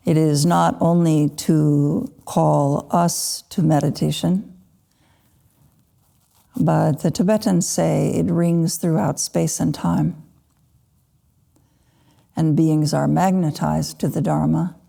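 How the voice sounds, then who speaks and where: A woman teaching a guided meditation, speaking in short, unhurried phrases with gentle pauses. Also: low voice, steady tone, creaky. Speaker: Buddhist meditation teacher (lama).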